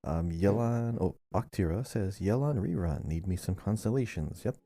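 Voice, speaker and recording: Low, mellow-voiced man on a podcast. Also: animated, normal speed, no room sound. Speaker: podcast co-host. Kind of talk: casual gaming podcast chat, reading out listener comments.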